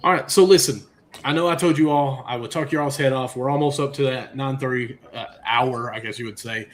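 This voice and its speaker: A man's voice: mid-range voice, a little fast. A man hosting a casual sports podcast, asking long, rambling questions full of fillers. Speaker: podcast host.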